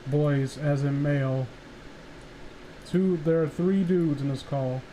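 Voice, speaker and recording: A man's voice: fairly high pitch, slow, warm tone, poor audio. A man hosting a casual livestream group call, with a teasing, profane way of talking. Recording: faint background noise, livestream group call.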